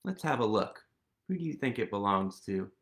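Very animated man reading a picture book aloud. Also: fairly low pitch, normal speed. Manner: playful, exaggerated wonder, asks listeners questions. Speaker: storytime reader. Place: small room at home, indoors.

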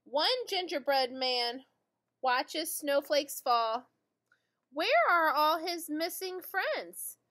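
Female primary teacher, middle-aged, high-pitched and slow, singsong picture-book read-aloud for little kids. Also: sharp tone. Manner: singsong, deliberate, addressing young children. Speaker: teacher reading to children. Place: indoor school classroom.

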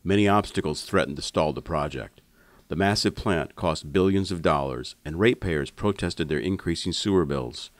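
Male narrator for documentary script. Low register, formal measured read, very dry studio sound. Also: no echo.

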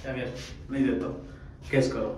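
A young man vlogging casually to his viewers from cars and a flat, teasing and chatty, filmed on a handheld camera at arm's length. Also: mid-range voice, moderate pace. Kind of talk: casual travel vlog chat. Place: in cars, a flat and outdoors at night. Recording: noisy street and car noise.

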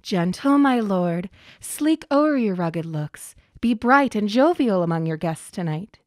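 A woman's voice: medium pitch, lively intonation, a little slow, darkish tone, no echo, studio quality, close to an everyday voice. An actress playing a composed, commanding queen in a Shakespearean radio drama, speaking poised verse. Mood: soothing.

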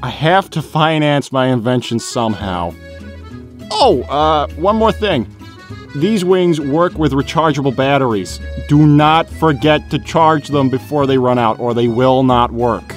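An actor plays a smug cartoon fox inventor, fussy and theatrical. Speech is unhurried and swings widely in pitch, with music behind it. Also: animated, close to an everyday voice. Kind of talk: animated comic dub acting.

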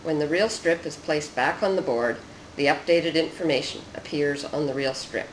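A narrator of medium pitch reads a scripted technical demonstration. The delivery is measured and explanatory. The recording is roomy and echoey, with noticeable noise behind the voice. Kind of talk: scripted narration of a research demo.

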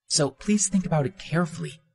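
A young woman gently coaxes a friend, her voice raised well above a man's own as the narrator puts her on. Her pleading tone stays soft and earnest. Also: on the high side, a little fast, pitched above the performer's own voice, natural voice.

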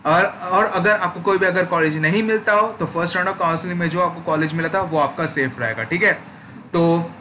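Young man, tutorial host, bright tone, repeated check-ins, faint background hiss. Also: high-pitched, normal speed. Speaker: education channel host. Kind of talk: screen-recorded tutorial walkthrough.

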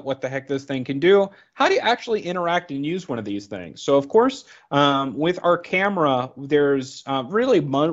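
Man presenting informally, slightly muffled audio. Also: a little high. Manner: conversational, explanatory, thinking aloud. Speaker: product trainer. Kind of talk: informal slide presentation narration.

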